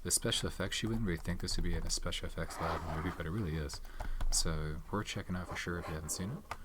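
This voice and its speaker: A soft-spoken man in his thirties hosting an ASMR channel, rambling casually about movies with many fillers and some whispered words. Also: deep voice, fast, very noisy. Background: tapping and keyboard clicks.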